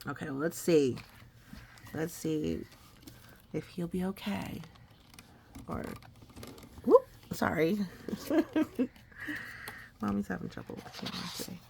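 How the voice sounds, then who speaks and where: Gravelly-voiced mom reading aloud. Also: female voice, lowish voice, huge pitch swings, slow pace, pauses often, darkish tone, a little hiss or hum. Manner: asks and echoes a small child's questions. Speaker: mother reading to her child. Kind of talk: picture-book read-aloud with chat to a child. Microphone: phone recording.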